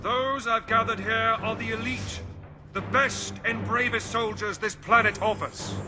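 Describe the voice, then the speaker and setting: Imperious, high-pitched villain commander. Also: male voice, steady tone, over loud music. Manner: pompous, grandiose, measured oratory. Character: imperious, pompous alien villain commander, grown man. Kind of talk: video game cutscene acting.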